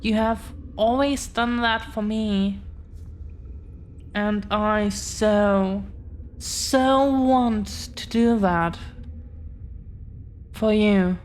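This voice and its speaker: Woman playing a slow, halting, devoted dragon-blooded girlfriend. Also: medium pitch, steady tone, a little mellow, a little hiss or hum. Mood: earnest, devoted. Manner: hesitant, halting, earnest, tender. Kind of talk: intimate audio roleplay, scripted confession.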